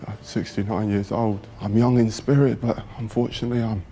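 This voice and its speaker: A man's voice: on the low side, steady tone, a little fast, dark tone. A young man on a game show, slyly questioning others while pretending to be old. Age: young adult. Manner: sly, questioning, feigning age.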